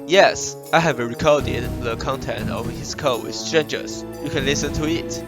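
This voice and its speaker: A man's voice: mid-range voice, unhurried, over music, close to an everyday voice. A man playing a watchful office employee informing on a colleague in a radio play. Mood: earnest.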